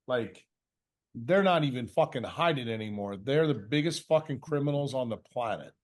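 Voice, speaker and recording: A man's voice: medium pitch, expressive, normal speed. A man lecturing off the cuff in answer to a question, blunt and confrontational, swearing freely and scolding with rhetorical questions. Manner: uses mocking imitations and rhetorical questions. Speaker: speaker leading a question session.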